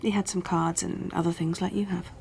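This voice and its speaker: Low-voiced, wary escort. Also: female voice, flat delivery, fairly quick, creaky, a little mellow. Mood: frank, matter-of-fact. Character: guarded, candid escort woman, worldly and wary. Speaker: actor in a radio drama. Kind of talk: naturalistic radio drama acting.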